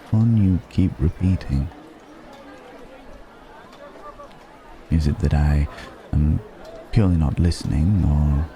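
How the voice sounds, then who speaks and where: Male dragon in disguise, played by a man, suave and gently mocking, extremely low and dark, for intimate fantasy ASMR with musing pauses. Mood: wry, teasing. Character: suave, probing male dragon posing as a curious stranger. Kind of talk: ASMR roleplay, intimate dramatic monologue. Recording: background music and crowd murmur.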